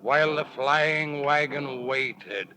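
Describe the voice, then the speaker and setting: Man playing a slow, solemn warrior storyteller. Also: mid-range voice, crowd noise, close to an everyday voice. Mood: solemn, ominous. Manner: measured, solemn, incantatory. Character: solemn Apache storyteller narrating an Old West tale. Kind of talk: 1940s radio drama narration.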